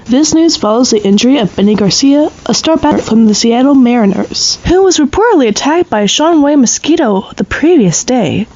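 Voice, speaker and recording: A woman's voice: sits high, lively intonation, brisk, few pauses, darkish tone. An actress playing a formal, composed female news anchor reading a scripted bulletin. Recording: dull, slightly muffled sound.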